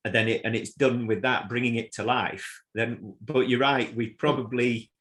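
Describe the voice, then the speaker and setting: A man in his fifties from south Manchester who speaks in a measured, reflective way as a podcast co-host, with hesitant ums. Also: mid-range voice, steady tone, a bit bright. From south Manchester, England. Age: 50s. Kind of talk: casual chat.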